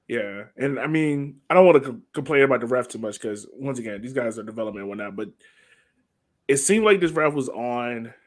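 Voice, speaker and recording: A man's voice: mid-range voice, expressive, a little fast. An American man co-hosting a sports podcast, talking casually and conversationally with informal, slangy phrasing. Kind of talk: casual soccer match breakdown chat.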